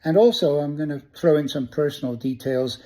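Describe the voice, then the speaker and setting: A man who grew up in New York City, hosting a spoken newspaper review in a conversational way, often pausing with "uh" and restarting phrases. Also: fairly high pitch, warm tone. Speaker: host of a press review. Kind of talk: casual spoken press review.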